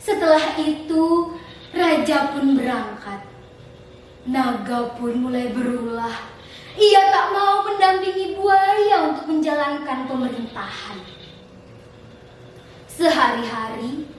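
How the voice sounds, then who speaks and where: A teenage young woman with a Central Kalimantan Indonesian accent, telling a folktale theatrically in a dramatic, declamatory contest style. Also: high voice. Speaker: storytelling contestant. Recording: distant echoey room with faint noise.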